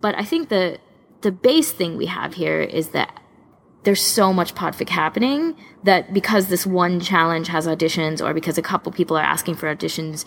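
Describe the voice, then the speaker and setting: A woman co-hosts a podcast in a casual, chatty style. Her voice sits on the low side and is markedly creaky, with plenty of fry in it. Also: moderate pace, a little hiss or hum. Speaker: podcast co-host.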